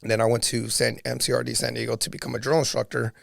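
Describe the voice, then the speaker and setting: A man with a raspy voice, a podcast guest telling his life story in an earnest, reflective way. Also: mid-range voice, flat delivery, quick pace, dry recording, clean studio sound. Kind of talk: casual storytelling conversation.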